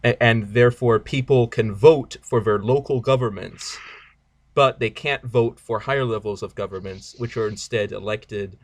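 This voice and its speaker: A man from Germany who speaks English as a non-native language, calmly explaining political ideas in a casual chat and pausing often. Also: medium pitch, no room sound. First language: not English. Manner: earnest, explains at length with hesitations.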